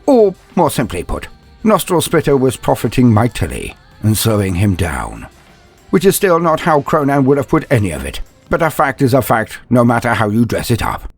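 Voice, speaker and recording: A man narrating a mock-epic fantasy tale in a grandiose, theatrical manner with wry asides, his voice gravelly and husky. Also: medium pitch, wildly swooping pitch, normal speed, soft background music. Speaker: narrator, storyteller. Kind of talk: dramatic scripted fan fiction narration, mock-epic.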